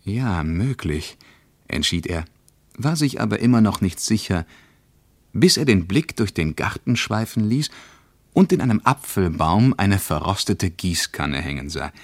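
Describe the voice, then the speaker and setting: Casting: a reflective travelling diarist in a radio play, male, very low register, measured literary reading, dry studio sound. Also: lively intonation, no echo, exaggerated voice. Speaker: narrator reading a travel diary. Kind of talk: scripted radio narration.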